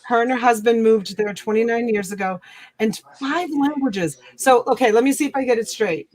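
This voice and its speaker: A woman hosts a casual live chat with a fairly high voice. She talks in a loose, chatty way, full of fillers and restarts. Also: moderate pace, a little mellow. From Italy. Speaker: livestream host.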